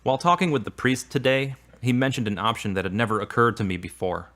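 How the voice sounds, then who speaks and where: A man's voice: medium pitch, fast, fairly bright tone, natural voice. An actor playing a frustrated, earnest man in audio drama dialogue, speaking in formal, carefully worded phrases. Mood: guarded, hesitant. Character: frustrated, earnest man in a strained romance, newly religious.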